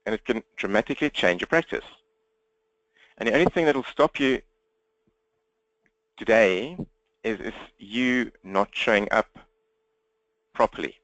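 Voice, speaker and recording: Man, South African accent, slightly croaky, energetic webinar presenter, dry close sound. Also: fairly low pitch, no echo, muffled recording. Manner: energetic, talks fast, direct and upbeat. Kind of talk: interactive webinar training. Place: indoors at his computer, standing.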